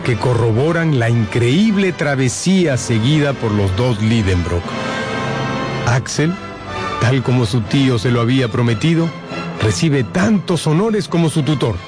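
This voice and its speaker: A man narrating a scripted radio drama in an expressive, deliberate storytelling style. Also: mid-range voice. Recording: music under the narration.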